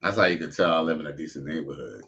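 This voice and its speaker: A man's voice: a bass voice, rapid-fire, pushed and bright, a little hiss or hum. A man hosting a live stream, reacting to clips with crude jokes and loose slang. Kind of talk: casual reaction commentary. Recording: dull lo-fi stream audio.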